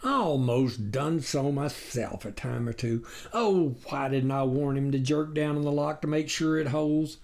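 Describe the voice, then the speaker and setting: A man's voice: fairly high pitch, unhurried, gravelly, few pauses, darkish tone. A man voicing a gullible, fretful country farmer in a storybook, with rustic, rambling talk. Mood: despairing. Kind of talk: storybook character reading.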